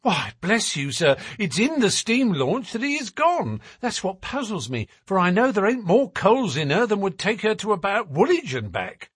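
A man's voice: high-pitched, very animated, normal speed, hardly any pauses, a bit bright, breathy edge, no room sound, studio quality, pitched above the performer's own voice, exaggerated voice. A man voicing a stout working-class wife in an audiobook, garrulous, anxious and scolding, with colloquial, deferential talk. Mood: chatty, respectful. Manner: chatty and colloquial, deferential with frequent "sir". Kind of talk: audiobook character voice.

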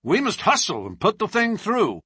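A man's voice: high-pitched, wildly swooping pitch, breathy edge, dry recording, clean studio sound, pitched above the performer's own voice, full character voice. A man voicing a brash American lawyer who pushes hard and talks in a hurry. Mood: pushy. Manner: forceful, hurried. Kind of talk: audiobook character reading.

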